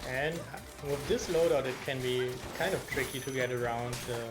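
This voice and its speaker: Casting: German-accented male commentator, mid-pitched, live play-by-play, game music under the voice. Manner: quick, engaged play-by-play, reacting to the action. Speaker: esports commentator.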